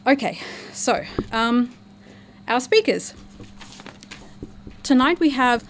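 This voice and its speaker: A woman chairs a public forum, reading a prepared speech and giving announcements in a steady, emphatic way. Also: fairly high pitch, slight background noise. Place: lecture room at a public forum.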